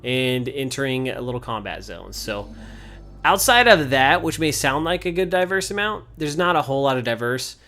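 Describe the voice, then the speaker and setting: A man's voice: mid-range voice, expressive, moderate pace, sharp tone. A man giving casual, conversational gameplay commentary as the host of a video game series. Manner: informal, conversational. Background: game music.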